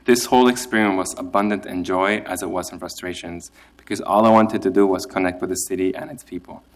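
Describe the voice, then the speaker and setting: A man's voice: deep voice, steady tone, fairly quick. A young man from Chile giving nervous, careful testimony that he reads from a prepared statement. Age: young adult. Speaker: immigrant program participant giving testimony.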